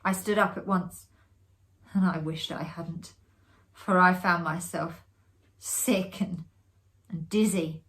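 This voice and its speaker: A woman giving a dramatic, expressive reading of a classic ghost story as a storyteller. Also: lowish voice, takes it slowly, long pauses. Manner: expressive, acting out the dialogue. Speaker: storyteller, amateur theatre performer.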